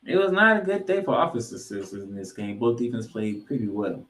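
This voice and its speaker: Animated, emphatic male sports podcast host. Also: on the low side. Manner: emphatic, dramatic pauses and repetition for effect. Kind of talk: football recap commentary.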